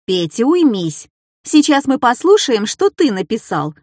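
A woman voicing a composed, authoritative schoolteacher in a children's story, with measured, instructive delivery. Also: on the high side, sing-song, a little mellow, dry recording, studio quality. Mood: firm.

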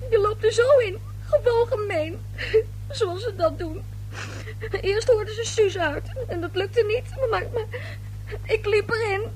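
A woman's voice: extremely high pitch, a little slow, pauses often, darkish tone, a little hiss or hum, poor audio. An actress playing a nervous, easily upset young woman, a stammering and defensive daughter in a radio play. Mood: tearful, upset.

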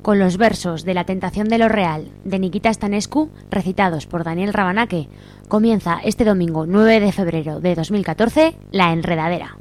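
A woman's voice: mid-range voice, moderate pace, a little mellow, a little hiss or hum. A woman reading a scripted radio introduction. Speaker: radio announcer.

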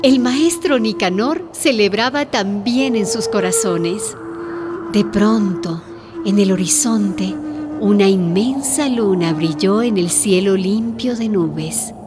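Radio drama narrator: woman, unhurried scripted storytelling, medium pitch, music underneath.

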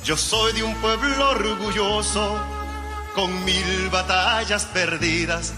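A man sings a folk song in a high, unhurried voice. Loud music carries his voice the whole way, and each line is drawn out slowly. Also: fairly even intonation. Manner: slow, melodic singing over guitar. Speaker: singer.

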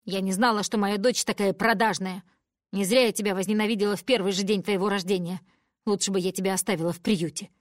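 A woman's voice: a little fast, no room sound, clean studio sound, close to an everyday voice. Woman putting on a screaming cruel mother. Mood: furious. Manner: shrill screaming, venomous. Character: furious drunken cruel middle-aged mother.

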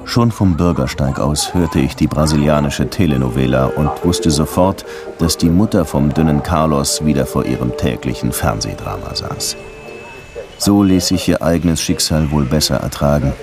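An actor playing a world-weary, hard-drinking Havana police detective who narrates and talks laconically in a German radio drama. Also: male voice, a bass voice, moderate pace, over music. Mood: dry narrating.

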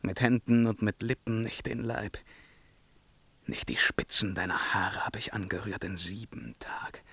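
A tormented young emperor in a radio verse play, tense forceful voice, slow and declamatory, hushed dread to cries. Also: male voice, mid-range voice, slightly rough, no echo, heavily acted. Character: tormented young emperor breaking free of his bewitching lover. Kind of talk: theatrical verse radio drama acting.

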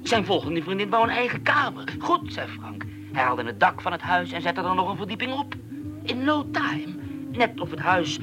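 A brooding, noise-haunted loner. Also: male voice, sits high. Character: unsettled man, oversensitive to noise, speaking bleakly and calmly. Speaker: troubled man being questioned about a friend's death. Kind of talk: radio play acting.

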